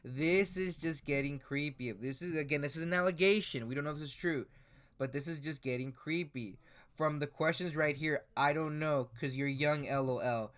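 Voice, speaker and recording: A man's voice: on the high side, moderate pace, a bit bright. Hesitant man in his twenties. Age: 20s. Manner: hesitant, many "uh" fillers and restarts. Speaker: commentary YouTuber. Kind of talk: casual commentary reading screenshots.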